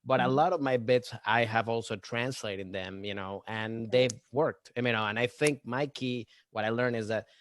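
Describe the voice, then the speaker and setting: A man's voice: mid-range voice, normal speed, hardly any pauses, no echo. A young adult man from Venezuela with a Venezuelan accent, a comedian chatting as a guest in a loose, rambling way with many restarts and fillers. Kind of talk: casual podcast chat.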